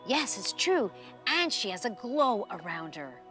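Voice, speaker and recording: A woman voicing an excitable, trusting young boy in a storybook, exclaiming in a breathless, wide-eyed way. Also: mid-range voice, a little slow, faint music behind. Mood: excited, hushed. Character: excitable, trusting young island boy who sees a princess.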